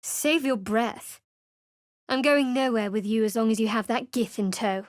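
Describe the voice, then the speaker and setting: A woman's voice: fairly high pitch, normal speed, no echo, natural voice. A woman voicing a guarded young cleric in a video game, with a dry, cutting, sarcastic manner. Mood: sardonic. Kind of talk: studio video game voice acting. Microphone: close studio mic.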